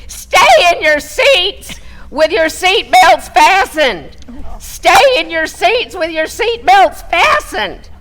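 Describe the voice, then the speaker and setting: A woman playing an excitable flight attendant who tells her training story theatrically, with deliberate delivery and loud commands. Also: fairly high pitch. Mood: loud, commanding. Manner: dramatic, deliberate, breaking into loud barked commands. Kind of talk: theatrical first-person storytelling for a radio play.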